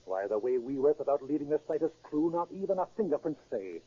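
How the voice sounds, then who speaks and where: An actor playing a confident, self-assured safecracker boss in a 1930s radio drama. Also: male voice, a little high, big pitch swings, husky. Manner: brisk, self-assured, emphatic. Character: cocky, confident male safecracking gang boss. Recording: muffled 1930s radio recording.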